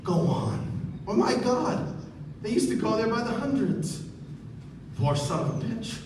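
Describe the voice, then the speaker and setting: A man's voice: extremely high pitch, lively intonation, measured pace. An actor playing a blunt, astonished, pitying male mourner in a staged radio play. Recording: echoey hall, distant mic, noisy.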